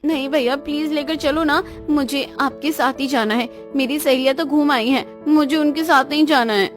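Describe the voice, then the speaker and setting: A woman's voice: high voice, normal speed, soft background music, natural voice. A woman voicing a spoiled, envious young sister in a cartoon, whiny and dramatic. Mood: pleading. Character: spoiled, envious young sister craving her brother's affection.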